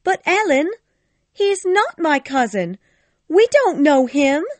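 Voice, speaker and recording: A woman playing a haughty, lively young girl. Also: sits high, takes it slowly, no room sound, heavily acted. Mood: upset, insistent. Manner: imperious, indignant, expressive. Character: spirited, haughty young girl of a gentleman's family. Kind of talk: dramatised audiobook dialogue.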